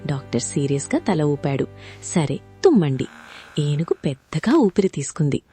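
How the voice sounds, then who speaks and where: A woman reads a funny animal story aloud for children and addresses them directly. Her narration is expressive, with a lively, melodic pitch. Soft background music runs beneath her voice. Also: fairly quick, husky, no room sound. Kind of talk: scripted story narration for kids.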